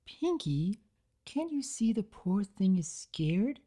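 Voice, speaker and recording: A woman as a gentle but firm high-voiced pegasus. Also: big pitch swings, slow. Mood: plaintive, firm. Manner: earnest, soft but firm. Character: gentle female cartoon pegasus, protective and assertive.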